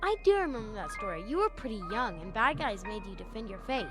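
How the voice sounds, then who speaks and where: A young girl in a children's audio drama, earnest and curious. Her voice is high and animated. She exclaims and wonders eagerly, with music under the scene. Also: quick pace. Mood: curious, amazed.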